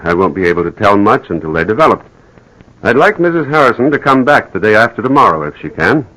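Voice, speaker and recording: A very low-pitched, grave family doctor in an old radio drama. He is polite and measured, choosing his words with some hesitation. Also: sing-song, bright, forceful tone, full character voice, low-quality recording. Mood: courteous. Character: grave, courteous male physician breaking bad news. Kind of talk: 1940s radio drama acting.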